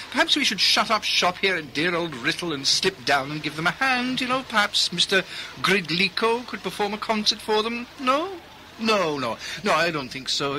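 An actor in a radio play playing a mischievous, rambling 1920s English radio engineer at the microphone, theatrical and playfully hesitant. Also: male voice, high-pitched, sharp tone, a little hiss or hum, heavily acted, low-quality recording.

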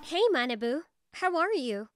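A woman's voice: high voice, lively intonation, moderate pace, background noise. A woman playing a friendly, supportive young female office coworker in a manga video dub. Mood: friendly, encouraging. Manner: encouraging, friendly.

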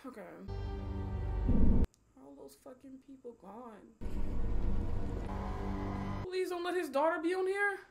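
A young American woman giving a casual, emotional movie reaction in an expressive, slangy way, full of exclamations. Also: sits high, slow, pauses often, over loud music. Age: young adult. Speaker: movie reaction video host. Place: small home streaming room, indoors. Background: film soundtrack and dialogue.

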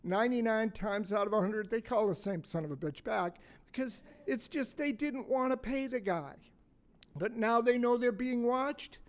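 An older man in his sixties gives a conference talk at a podium mic, his voice slightly raspy and pitched high for a man. He speaks bluntly and folksily, joking and putting questions to the room. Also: normal speed. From Massachusetts, USA. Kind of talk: conference talk to a live audience. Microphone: stand mic on a podium.

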